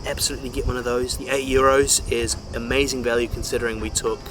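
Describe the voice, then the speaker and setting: A man's voice: medium pitch, flat delivery, fast talker, noisy background. A man chatting casually to camera as a travel vlogger, upbeat and fond of explaining things.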